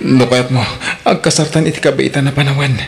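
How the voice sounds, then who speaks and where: Creaky, pleading Filipino husband, acted by a man. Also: medium pitch, steady tone, a little fast, natural voice. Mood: pleading, remorseful. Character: remorseful pleading unfaithful husband. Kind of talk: Ilocano radio drama acting. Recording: music behind the voice.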